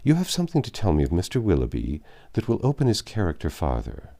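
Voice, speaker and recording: A man's voice: low voice, huge pitch swings, quick pace, mellow, exaggerated voice. A man voicing a sensible, composed young Regency lady from a novel, polite and formal. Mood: composed, grateful. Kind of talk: storybook character reading.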